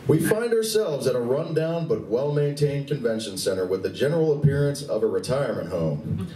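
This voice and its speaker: Man as wry sci-fi comedy narrator, mellow and measured, echoing from a distance. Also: medium pitch, animated, natural voice. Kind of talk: scripted comic narration at a live radio play. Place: convention event room. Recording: echoey distant live room, faint noise.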